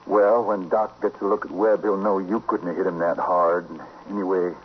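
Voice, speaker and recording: Guy acting a cold american cowboy killer, deep voice, taunting drawl, muffled old radio western. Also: level tone, moderate pace, natural voice. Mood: cold, matter-of-fact. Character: menacing, vengeful male killer in an old West town. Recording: muffled, hissy 1950s radio recording.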